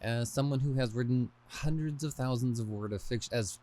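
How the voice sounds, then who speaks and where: Male podcast host and author, medium-pitched voice, scripted read-aloud delivery, wry and self-deprecating. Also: normal speed, warm tone, slight background noise. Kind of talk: scripted podcast intro and reading aloud of own book.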